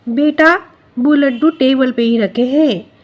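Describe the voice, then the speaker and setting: A woman's voice: high voice, takes it slowly. A woman voicing an exclaiming, motherly cartoon mother who talks to her grown son. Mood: caring. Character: mother bird, caring but scolding, of grown son. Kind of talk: Hindi cartoon story dubbing.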